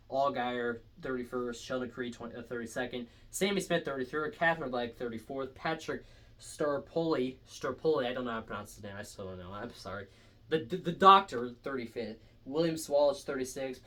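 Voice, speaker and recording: A man's voice: medium pitch, a bit bright. A young man casually reviewing race results on his own, rambling and correcting himself with lots of fillers. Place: indoors, stepping back from the camera at times.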